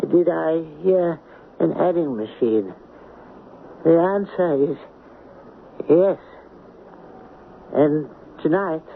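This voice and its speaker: A man's voice: a little high, gravelly. An actor playing a grave, ominous man passing moral judgment in a 1970s radio drama. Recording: tinny, hissy old radio broadcast.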